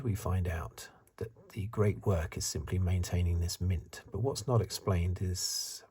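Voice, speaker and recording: A very breathy, soft-spoken man delivers ASMR commentary, often slipping into a whisper. His voice is deep and dark. He muses in a conversational, hesitant way, as if thinking aloud. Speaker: ASMR reviewer.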